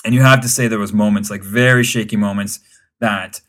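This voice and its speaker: A man's voice: lowish voice, fairly even intonation, fairly bright tone. A man chatting about soccer as a panelist, rambling and joking. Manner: fast, rambling, joking asides. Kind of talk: casual chat.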